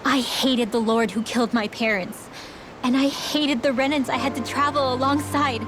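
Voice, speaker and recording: A woman playing a soft-hearted, troubled teenage girl in a fantasy video game, hesitant and introspective. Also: sits high, fairly even intonation, moderate pace, over music, natural voice. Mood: troubled, conflicted. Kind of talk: video game cutscene dubbing.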